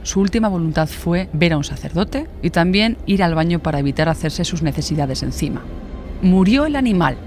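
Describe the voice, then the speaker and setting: A man's voice: a little high, lively intonation, fairly quick, a little mellow, soft background music. A man narrating a scripted true-crime podcast.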